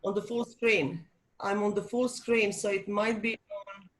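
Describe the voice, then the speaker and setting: A woman speaks over a video call as a guest coach, earnest and searching for words as she goes. Her voice sits at an ordinary middle pitch. She talks in a motivational, personal way. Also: level tone, measured pace. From Serbia. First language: Serbian. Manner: earnest, with frequent ums and restarts. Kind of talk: motivational webinar talk.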